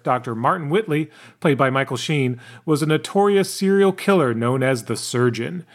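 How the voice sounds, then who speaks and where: A man hosting a podcast, chatting loosely with a guest and reading scripted segment intros. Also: on the high side. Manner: lively, mixes loose chat with read-out intros. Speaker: podcast host.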